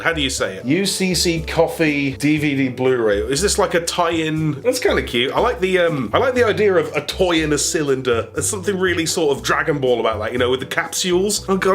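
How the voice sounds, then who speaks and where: Toy channel host, man, bunged-up nasal cold, upbeat jokey unboxing patter, slightly high voice, soft music underneath. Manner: chatty, jokey, self-amused. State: congested, nasally. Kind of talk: casual unboxing chat.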